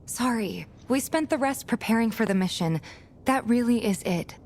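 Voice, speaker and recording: An actress playing a friendly, caring young woman in a video game, speaking gently and conversationally with slight hesitations. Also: fairly high pitch, animated, moderate pace, a little hiss or hum. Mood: apologetic, encouraging. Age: young adult. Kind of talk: video game cutscene voice acting. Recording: background music under dialogue. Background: game music.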